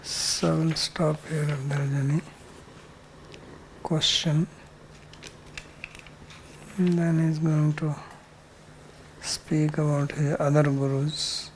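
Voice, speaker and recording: An older man teaching a spiritual class and answering students' questions in a calm, plain-spoken way with dry humor. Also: on the high side, takes it slowly, pauses often, a little hiss or hum, poor audio.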